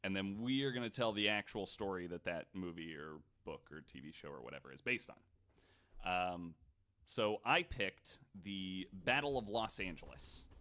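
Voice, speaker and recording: A man's voice: low voice, sing-song, normal speed, sharp tone. A man telling a true story on a podcast in a casual, informal way, like a host talking among friends. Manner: informal, hedging, frequent "like".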